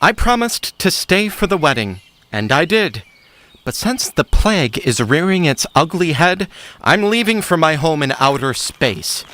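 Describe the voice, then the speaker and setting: A man's voice: fairly high pitch, sing-song, unhurried, sharp tone, heavily acted. A man playing an aloof, irritable immortal uncle in a comic stage-style audio drama, curt and dismissive. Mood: aloof, departing.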